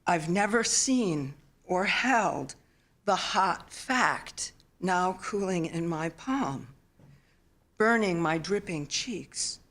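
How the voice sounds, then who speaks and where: A mature woman reading a literary memoir at a live event in an expressive, solemn storytelling manner. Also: mid-range voice. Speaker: author reading own work. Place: theater stage, live reading.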